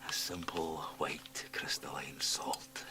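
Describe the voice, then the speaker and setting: A man playing a sinister, mocking Scottish villain in a radio drama, with a gravelly voice and theatrical, menacing delivery. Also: very deep voice, little pitch movement, slow pace, whispered. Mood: sneering, menacing. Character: sinister, mocking small man, transforming into triumphant arrogant doctor.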